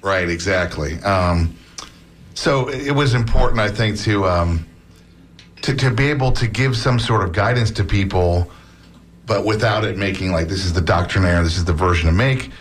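A man talking casually as a guest author on an interview show, relaxed and chatty, with many fillers and restarts. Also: low-pitched, normal speed. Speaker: book author, academic.

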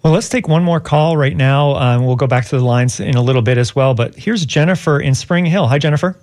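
Guy hosting a live call-in radio show, voice a bit on the high side. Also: a little fast, darkish tone. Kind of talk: live talk radio moderating.

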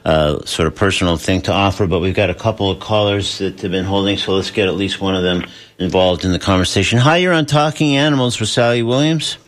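A man hosting a radio talk show, friendly and relaxed, with some fillers. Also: deep voice, fast. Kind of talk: radio interview.

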